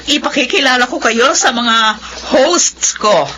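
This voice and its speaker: A woman playing a gracious, excitable middle-aged aunt, chatty and effusive, in a Filipino radio drama. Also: high voice, a little slow, background noise. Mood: delighted. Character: gracious, excitable well-off aunt throwing her birthday party.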